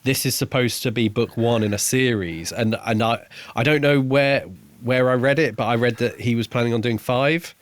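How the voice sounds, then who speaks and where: A man's voice: mid-range voice, normal speed, hardly any pauses, no room sound. Man chatting about books, close dry sound. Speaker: podcast co-host. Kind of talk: casual book review discussion.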